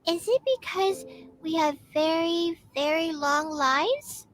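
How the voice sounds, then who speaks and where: A woman's voice: extremely high pitch, slow pace, fairly bright tone, voice pushed up, exaggerated voice. A woman voicing an innocent, curious small child in a game roleplay.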